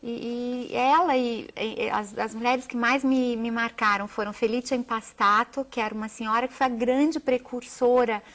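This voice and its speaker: A woman's voice: fairly high pitch, steady tone, normal speed, few pauses, fairly bright tone. A Brazilian woman, a journalist and author, speaking as an interview guest in an earnest, emphatic, wordy way. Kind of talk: television interview, animated storytelling.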